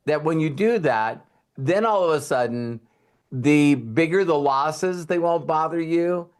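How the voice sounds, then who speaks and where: A man's voice: a little high, a little slow. A man hosting a casual group call, giving frank, informal trading advice with some mild swearing. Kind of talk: casual coaching chat.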